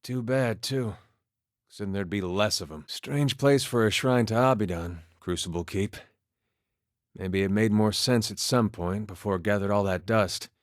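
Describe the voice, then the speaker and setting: Guy voicing a folksy farmer war vet for a video game, lowish voice, dry humor, easygoing but carrying some grief, really dry close recording. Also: moderate pace, dry recording, close to an everyday voice. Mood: bitter, musing. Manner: folksy, understated, dryly humorous, drops words. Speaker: video game companion character. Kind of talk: video game voice acting, conversational dialogue.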